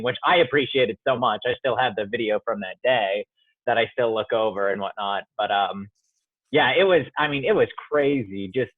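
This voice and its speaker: A thoughtful male playwright talks through his answers slowly, circling back with "um" and "like" as he restarts his sentences. His voice sits at a middling pitch. The sound is thin and phone-like, close and dry with no room around it. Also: no room sound. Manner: reflective, many fillers and restarts. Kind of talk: interview answers. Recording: tinny phone-like line, dry.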